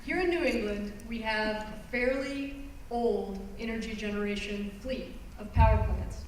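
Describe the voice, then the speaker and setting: A woman giving a public presentation, explaining her subject earnestly and pausing on phrases for emphasis. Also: medium pitch, slight background noise. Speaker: environmental lawyer giving a talk. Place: conference hall. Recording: roomy hall recorded from far off.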